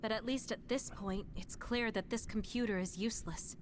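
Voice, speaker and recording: A woman's voice: a little high, steady tone, moderate pace, slight background noise, close to an everyday voice. A woman voicing an earnest, composed young squad fighter in an English video game dub. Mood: serious.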